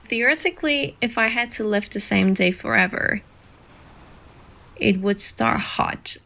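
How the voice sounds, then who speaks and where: A woman's voice: mid-range voice, lively intonation, a little slow, gravelly, pushed and bright, heavily acted. A woman playing a playful, teasing young woman in a romantic summer audio drama. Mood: dreamy, playful.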